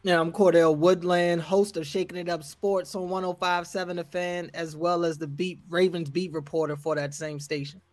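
Male sports reporter, measured breakdown style, dry close mic. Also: on the high side, level tone, no echo, faint music behind. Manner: measured, stacks up points with restarts. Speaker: sports radio host and beat reporter. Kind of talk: casual sports analysis.

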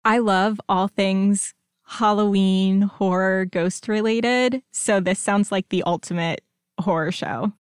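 Female co-host, casual and enthusiastic, mid-pitched, dry studio sound. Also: fairly even intonation, slow, smooth, no room sound. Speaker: podcast co-host. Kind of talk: casual banter.

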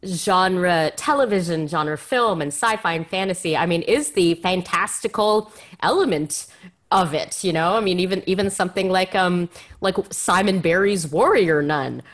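A woman's voice: lowish voice, normal speed. A woman hosting a conversational interview in an effusive, enthusiastic manner. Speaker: podcast host and interviewer.

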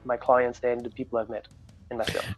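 A man's voice: medium pitch, little pitch movement, a little fast, faint music behind. A man from France with a French accent, a native French speaker, answering interview questions as a coach in a candid, reflective way. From France, grew up in Paris. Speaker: guest, coach.

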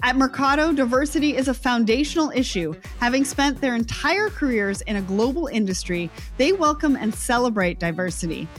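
A woman's voice: fairly high pitch, animated, a little fast, hardly any pauses, faint music behind. Upbeat woman hosting a panel. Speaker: podcast host. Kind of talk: scripted sponsor read, then panel moderation.

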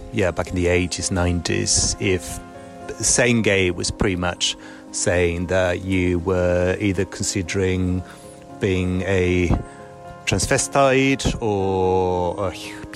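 A deep, low-pitched man tells his own story in a halting way, often repeating words and starting over. He speaks into phone voice recordings from a small lakeside cabin. Soft music plays faintly beneath him. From Argentina. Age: 40s. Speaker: storyteller guest. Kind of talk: personal life story, casual monologue. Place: small weekend cabin by a lake.

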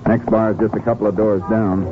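Actor as low-voiced, laconic Texas Ranger. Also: male voice, level tone, fast talker, lightly acted. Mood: terse, alert. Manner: terse, matter-of-fact. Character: steady, laconic Texas Ranger lawman telling his case. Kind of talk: 1950s radio drama acting and narration. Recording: narrow old radio recording with music.

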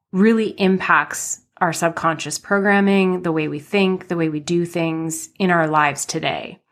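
A woman's voice: lowish voice, fairly bright tone. A woman in her thirties hosting her podcast, telling her life story in a chatty, rambling way, slightly nasal from a cold. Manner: chatty, rambling with many fillers and restarts. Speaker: podcast host. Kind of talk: casual personal storytelling.

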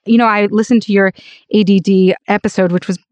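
Raspy American woman, dry close sound. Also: mid-range voice, fairly even intonation, fairly quick, darkish tone, no echo. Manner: emphatic, peppers talk with "like" and "you know". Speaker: interview guest, actor and voice-over artist. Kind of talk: conversational personal storytelling.

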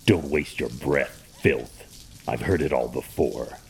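A man playing a grim, menacing masked vigilante in an audio drama, terse and stern, with a gravelly, husky voice. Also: a bass voice, slow pace, a little hiss or hum, exaggerated voice. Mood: contemptuous.

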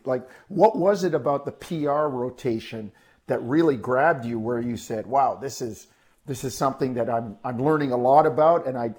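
A man's voice: mid-range voice, darkish tone. An older man with a mid-range voice co-hosts a sports interview. He talks casually and takes a rambling, roundabout path through his questions. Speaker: sports show co-host.

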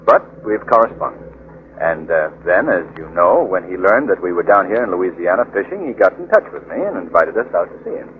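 An actor playing a composed, educated gentleman adventurer in a 1940s radio drama. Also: low voice, big pitch swings, fairly quick. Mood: reflective, concerned. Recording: muffled, noisy 1940s radio recording.

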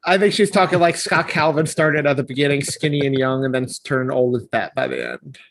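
A man's voice: medium pitch, fairly even intonation, fairly quick, creaky, fairly bright tone. A man hosting a podcast in a casual, chatty group conversation. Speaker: podcast host.

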